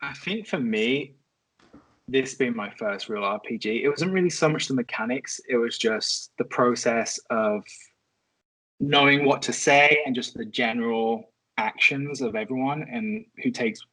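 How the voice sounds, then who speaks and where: A man's voice: medium pitch, unhurried, sharp tone, low-quality recording. A man speaking as a guest in a casual podcast discussion.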